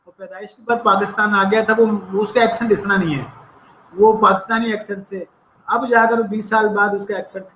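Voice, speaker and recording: Interview guest, male, slight American accent, earnest and reflective, higher voice, roomy recording. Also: steady tone, a little fast, sharp tone, slight background noise. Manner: earnest, reflective, sprinkles English phrases. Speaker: entrepreneurship professor, guest. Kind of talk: conversational interview storytelling.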